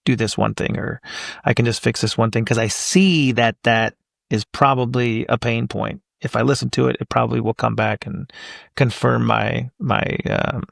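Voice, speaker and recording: A man's voice: medium pitch, fairly even intonation, moderate pace, vocal fry, no echo, studio quality. A man in his thirties, a voice actor guest on a podcast, talking casually and thinking aloud with plenty of fillers.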